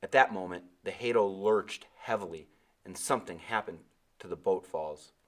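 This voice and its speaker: A man narrating an adventure novel aloud in an expressive storytelling style. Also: low-pitched, normal speed.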